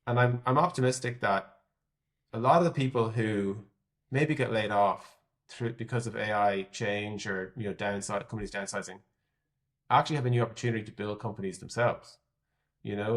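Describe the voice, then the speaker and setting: A man with an Irish accent speaks in a fairly low voice. He answers as a tech executive in a podcast interview, conversational and candid, reasoning out loud and qualifying what he predicts. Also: steady tone, moderate pace. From Ireland. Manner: candid, thinking aloud, hedging his predictions.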